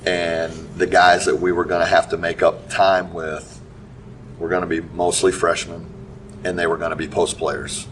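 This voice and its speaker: A middle-aged man, a basketball coach giving a halting post-game interview full of restarts and fillers. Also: deep voice, flat delivery, fairly bright tone. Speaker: high school basketball coach. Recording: distant mic with faint background noise.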